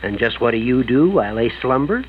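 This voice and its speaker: An actor playing a gruff, skeptical police inspector, brusque and wisecracking in 1940s radio drama style. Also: male voice, mid-range voice, very animated, brisk, lightly acted. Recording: muffled, faintly noisy 1940s radio recording.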